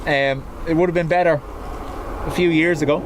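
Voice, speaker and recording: A man's voice: on the high side, fairly even intonation, fairly quick, heavy background noise. An Irish man hosting a live stream, chatting casually with viewers and laughing easily as he answers their messages. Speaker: livestream host. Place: outdoors beside an airport.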